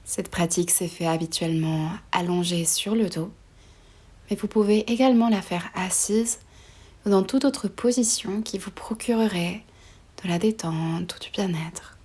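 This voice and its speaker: A woman guiding a relaxation meditation in a soft, breathy voice often near a whisper, slow and soothing, with pauses between short phrases. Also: fairly low pitch, steady tone. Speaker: yoga nidra meditation guide.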